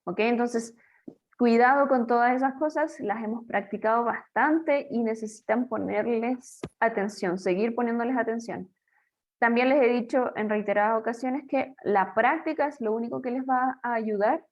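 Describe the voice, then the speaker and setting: A woman's voice: a little high, highs cut off. Patient Spanish-speaking woman teaching English grammar. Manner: patient, explanatory, asks and answers own questions; slows down to model English example sentences. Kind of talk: recorded grammar lesson.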